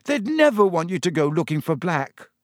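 Guy reading as a worried teen schoolgirl, high n earnest, kinda pleading, audiobook character. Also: big pitch swings, moderate pace, darkish tone, no echo, clean studio sound. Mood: tearful pleading.

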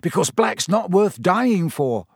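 A blunt teenage schoolboy, voiced by a man narrating a storybook, with a raised pitch. The character is excitable and emphatic, a loyal friend who says things straight. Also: takes it slowly, dry recording, clean studio sound, exaggerated voice. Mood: tense. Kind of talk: audiobook character voice.

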